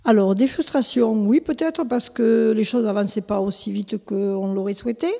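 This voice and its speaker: A woman's voice: mid-range voice, moderate pace, creaky, warm tone, long reverb tail. A woman in her seventies, born in Italy, answering interview questions as a village mayor, hesitant with many restarts and fillers. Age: 70s. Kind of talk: local interview.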